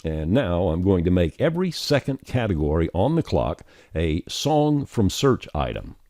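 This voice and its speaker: A man narrating a software tutorial step by step in a folksy, conversational way. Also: low voice, sing-song, moderate pace, no room sound, studio quality. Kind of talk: scripted tutorial narration, explaining steps on screen.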